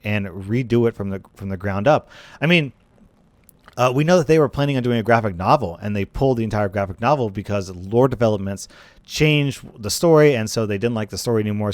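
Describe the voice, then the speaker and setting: A man's voice: mid-range voice, no room sound. A man giving casual, speculative commentary on a video game, thinking aloud in a conversational way through hypothetical scenarios. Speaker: gaming commentator.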